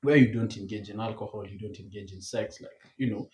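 A young man with a Kenyan accent co-hosting a podcast, speaking in a laid-back way that often drops low and quiet. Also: a little fast. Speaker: podcast co-host. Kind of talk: casual banter. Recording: echoey room.

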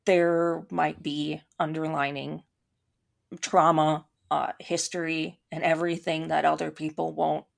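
A woman's voice: low-pitched, flat delivery, measured pace, creaky. A woman from Minnesota with a Minnesota accent, a podcast guest talking casually and thoughtfully, with many ums and restarts. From Minnesota, USA. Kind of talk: casual conversation.

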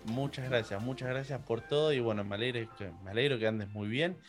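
A man's voice: medium pitch, brisk. A man in his twenties with an Argentine accent, hosting a casual interview in an informal, friendly and encouraging way. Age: 20s. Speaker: podcast host and interviewer. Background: music.

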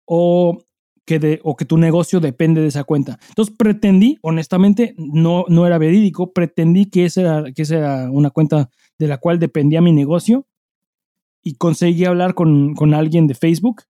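Mexican man, very mellow tone, slightly high pitch, casual podcast host, slangy, sweary. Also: moderate pace, no room sound, studio quality. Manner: informal, heavy slang and swearing. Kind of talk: casual conversational storytelling.

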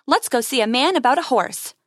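A woman voicing a bold, wry female space ranger with a brisk, confident manner in a science fiction story. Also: high voice, expressive, dry recording, clean studio sound.

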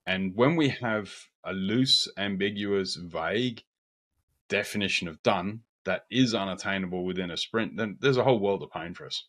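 An Australian man with a fairly low voice, speaking directly and confidently on a podcast. He breaks into quick bursts when making a point and keeps a relaxed, conversational tone. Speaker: podcast co-host. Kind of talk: casual podcast discussion.

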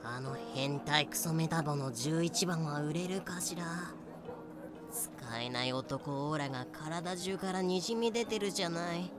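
A woman playing a brisk, haughty female sale organizer in a fan-made audio drama. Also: sits low, music in the background. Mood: scornful.

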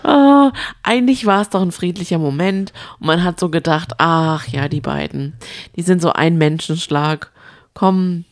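Eine Frau plaudert als Co-Moderatorin eines Podcasts locker und mitfühlend über Reality-TV. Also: female voice, lowish voice, a little slow, dry recording, studio quality. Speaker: podcast co-host. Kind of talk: casual chat about reality TV.

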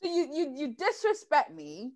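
A woman's voice: high-pitched, moderate pace. A woman chatting casually and telling dating stories, acting out what people said. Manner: lively, acts out quotes. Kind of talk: casual chat, storytelling.

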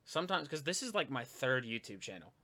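A man's voice: mid-range voice, very animated, fairly quick, bright, forceful tone. A man hosting a casual interview for his channel, chatty and supportive, with lots of fillers. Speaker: interviewer, YouTube channel host.

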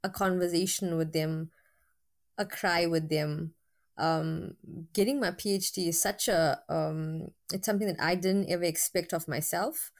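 Woman lecturer, low and candid. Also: fairly even intonation, a little slow. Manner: candid, with frequent fillers. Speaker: university lecturer with a PhD. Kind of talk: interview guest.

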